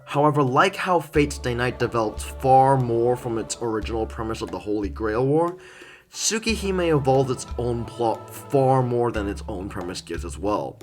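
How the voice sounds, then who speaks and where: Man, lively varied intonation, scripted review narration, emphatic pauses, faint music behind. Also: mid-range voice. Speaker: video reviewer, host. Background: background music.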